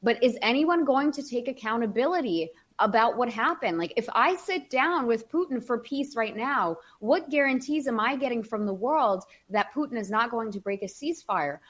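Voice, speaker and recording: A woman's voice: a little high, animated, normal speed, hardly any pauses, a bit bright. A podcast host, a woman from Ukraine whose first language is Russian, telling stories in an earnest, opinionated way. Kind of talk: casual podcast conversation.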